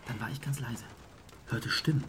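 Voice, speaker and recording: Male voice, actor as eager rambling witness, hushed, breathy, deferential, radio drama, faint background noise. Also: medium pitch, fairly even intonation, moderate pace, close to an everyday voice. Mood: eager, confiding. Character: talkative small-town male eyewitness, eager, deferential, rambling. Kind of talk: German radio drama acting.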